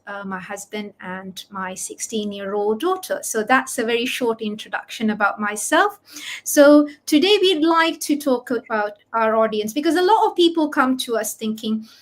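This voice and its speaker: A woman's voice: fairly high pitch, normal speed. A middle-aged woman hosting a live promotional talk on an online video call. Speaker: host, online business coach.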